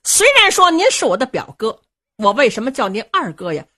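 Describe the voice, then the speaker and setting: A woman storyteller voicing a young, cocky male commander who coaxes and teases his elders in quick, bright speech. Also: on the high side, very animated, breathy edge, full character voice. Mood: placating. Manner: teasing, coaxing, quick and bright. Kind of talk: traditional Chinese storytelling performance.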